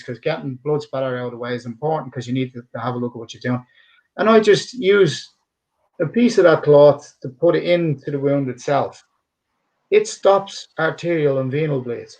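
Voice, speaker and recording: A man's voice: a little high, a little mellow. Earnest middle-aged Dublin Irish man. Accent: Dublin Irish, somewhat polished. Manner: earnest and emphatic, rambling with restarts. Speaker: boxing and MMA cutman, interview guest. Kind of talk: conversational interview answers.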